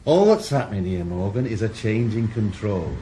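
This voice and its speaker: A man's voice: lowish voice, expressive, normal speed, warm tone, a little hiss or hum, lightly acted. A man playing a blunt, cynical police inspector. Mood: hard. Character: cynical male police inspector, middle-aged. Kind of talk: 1980s radio drama acting.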